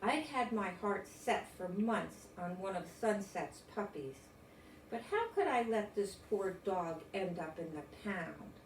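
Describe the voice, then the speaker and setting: An older woman from the United States reads a children's story expressively. Her voice is of medium pitch. It is picked up from a little way off in a roomy space, with faint noise. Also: sing-song, a little slow, distant mic. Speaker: storybook reader.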